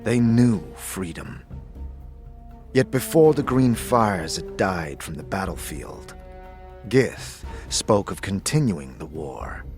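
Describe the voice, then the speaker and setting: A man playing a solemn warrior-monk mentor, speaking in a grave, formal, measured way as in a fantasy audio drama. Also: low-pitched, animated, a little mellow, soft background music, full character voice. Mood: solemn, reverent.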